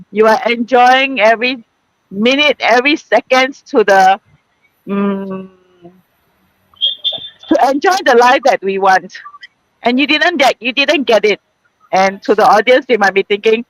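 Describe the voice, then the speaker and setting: Singapore woman hosting a live, high voice, chatty and laughy, dry close sound. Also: no room sound, poor audio. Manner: chatty and laughing, with many fillers and restarts. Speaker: host of a group live stream. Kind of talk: casual live-stream chat. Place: out in a busy shopping mall.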